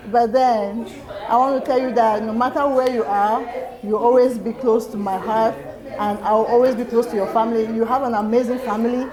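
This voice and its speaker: A woman's voice: a little high, low-quality recording. A woman giving a heartfelt birthday toast, earnest and laughing between phrases. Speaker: party guest giving a toast. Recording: crowd noise at a party.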